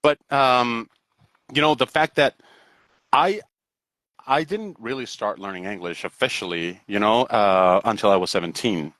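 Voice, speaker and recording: Halting, wordy middle-aged man, dry close sound. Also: on the low side, lively intonation, a bit bright, no echo. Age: 40s. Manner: halting and wordy, often repeating words and checking with "right?". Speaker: English teacher hosting a live stream. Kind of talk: casual interview chat. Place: indoors on an online video call.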